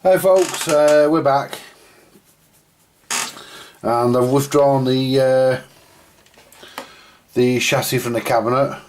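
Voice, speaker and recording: A man's voice: mid-range voice, fairly even intonation, slow, pauses often, a little mellow. A man giving casual, rambling hands-on repair commentary to viewers, thinking aloud as he works. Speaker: host of a radio repair video. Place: at a home workbench. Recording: clear background noise with clicks.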